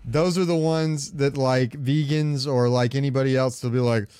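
A man co-hosts a casual gaming podcast in a clear, smooth voice at an average pitch. He rambles along informally and swears now and then. The sound is dry and close. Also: steady tone, normal speed, darkish tone, no echo. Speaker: podcast co-host. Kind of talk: casual chat about video games.

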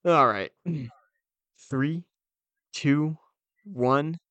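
A man co-hosting a podcast in casual, comedic chat, speaking in halting bursts with repeated phrases. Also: a little high, fairly bright tone, no room sound. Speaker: podcast co-host.

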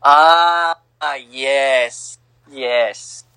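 A young man co-hosting a casual sports podcast, teasing and lively, on a home mic. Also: mid-range voice, extremely slow, clean tone, no echo. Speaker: podcast co-host.

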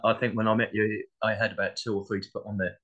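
A man's voice: on the low side, little pitch movement, quick pace, fairly bright tone, dull audio. A man speaking as an interview guest in a casual, modest and reflective way. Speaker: interview guest, playwright and actor.

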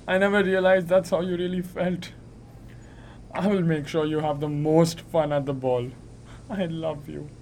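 A man's voice: a little high, steady tone, a little slow, darkish tone. A young man playing a devoted, eager-to-please clerk husband in an amateur radio drama, tender and reassuring. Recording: noisy amateur recording.

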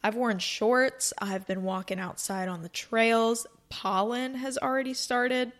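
A woman's voice: a little high, fairly even intonation, measured pace, fairly bright tone. A woman in her twenties hosting a casual solo podcast, chatting in a rambling, upbeat way from a cozy room. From North Carolina, US. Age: 20s. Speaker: podcast host.

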